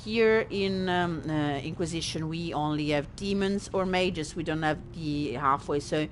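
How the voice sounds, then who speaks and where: An Italian woman with an Italian accent, a native Italian speaker, hosting a casual let's-play and thinking aloud as she goes. Her voice sits a little low and her pace is very slow and unhurried, with a chatty, self-deprecating manner. A faint noise runs underneath. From Italy. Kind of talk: casual gameplay commentary.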